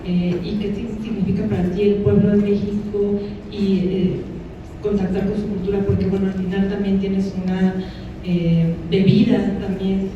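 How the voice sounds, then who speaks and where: A woman reporter asking a question at a press conference, hesitant and full of fillers. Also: mid-range voice. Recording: distant, reverberant, dull and noisy.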